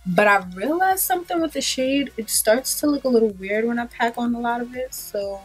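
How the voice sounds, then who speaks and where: A woman's voice: fairly high pitch, lively intonation, a little fast, husky, faint music behind, rough recording. A young woman in her twenties with a stuffy cold, chatting casually through a makeup tutorial in a small room, loose and full of ums and likes. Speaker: beauty vlogger. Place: small room in front of a pink backdrop.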